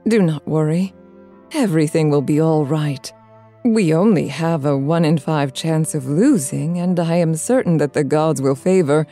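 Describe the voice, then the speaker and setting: A woman's voice: low-pitched, normal speed, dark tone, faint music behind, lightly acted. A woman playing an anxious, protective mother in an audio drama, fussing and pleading. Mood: reassuring.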